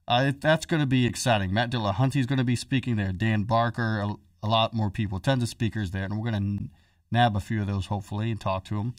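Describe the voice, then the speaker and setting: A man's voice: medium pitch, dry recording, clean studio sound, distant mic. A man hosting a podcast in casual chat, joking and telling a story in a chatty way. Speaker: podcast host.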